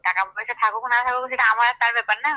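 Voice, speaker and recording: A woman's voice: fairly high pitch, steady tone, racing, sharp tone. A woman arguing on a telephone call, curt, indignant and challenging. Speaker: prank call recipient. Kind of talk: phone argument. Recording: telephone call with faint noise.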